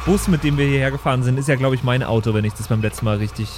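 A man's voice: mid-range voice, racing, background noise. A man improvising as a tabletop role-playing player, joking along with hesitant phrasing. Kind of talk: improvised in-character banter.

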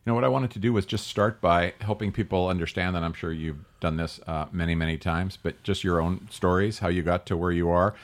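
A man hosting a talk show, introducing his guests and asking them about their paths in a relaxed, conversational way with fillers. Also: deep voice, a little fast, few pauses. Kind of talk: conversational interview.

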